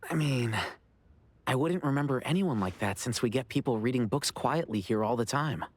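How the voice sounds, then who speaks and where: A man plays a helpful anime game attendant. The voice is husky and mid-pitched, explaining things plainly in a dry, close recording. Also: expressive, moderate pace, dry recording, close to an everyday voice. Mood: apologetic, matter-of-fact. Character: helpful, matter-of-fact male shop attendant.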